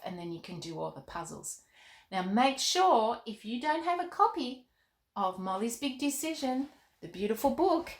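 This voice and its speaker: A woman's voice: on the high side, echoey room. A woman hosting a children's story show, narrating and chatting expressively to young listeners as the author. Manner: clear, expressive, addressing children.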